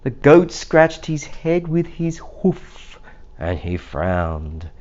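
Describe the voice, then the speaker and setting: A man's voice: fairly high pitch, very animated, very slow, darkish tone, muffled recording. A man reading a children's storybook aloud in a theatrical, playful manner. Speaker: storybook reader.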